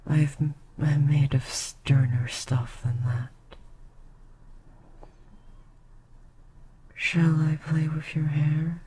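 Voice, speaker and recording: A woman voicing an ancient queen succubus, soft and tender, often slipping into a breathy whisper in an ASMR roleplay. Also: low-pitched, fairly even intonation, slow pace, background noise. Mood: soothing.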